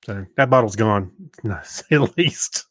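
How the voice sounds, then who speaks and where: A man's voice: lowish voice, unhurried, fairly bright tone, no echo. A man chatting casually as a podcast co-host in an easygoing, agreeable way. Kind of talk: casual chat.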